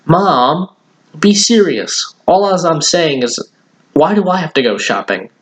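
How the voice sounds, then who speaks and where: A man's voice: on the high side, expressive, a little slow, voice pushed up. A man voicing a whiny, sulky schoolboy who pleads and protests, as in a storybook reading. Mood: urgent pleading.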